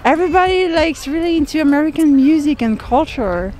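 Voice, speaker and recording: A woman's voice: high-pitched, lively intonation, fairly quick, a little mellow. A woman with a French accent interviewing passersby on a street, upbeat and encouraging, with simple phrasing. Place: outdoors on a city street.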